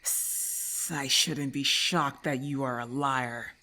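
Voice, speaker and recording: A woman's voice: a bass voice, steady tone, slow, bright, forceful tone, heavily acted. A woman voicing a haughty, irritable lamia in an ASMR roleplay, with hissing, imperious and formal speech, scornful and menacing. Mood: disdainful.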